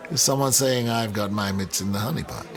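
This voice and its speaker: An actor playing a proud, defensive history professor in a naturalistic radio drama, insistent and questioning. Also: male voice, mid-range voice, lightly acted. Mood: wary.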